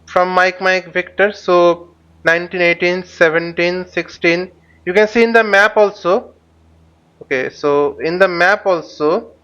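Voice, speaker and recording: A man with a slightly high voice narrates a live flight simulator lesson. He speaks haltingly, thinking aloud as he demonstrates each step like an instructor. The recording is somewhat dull and lo-fi. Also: fairly bright tone, clear, resonant tone. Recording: dull, lo-fi microphone sound.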